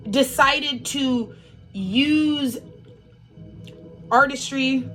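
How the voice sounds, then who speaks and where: A woman's voice: fairly high pitch, fairly bright tone. A woman giving a casual spiritual monologue as an astrology speaker, a little sniffly, rambling with lots of fillers and free swearing.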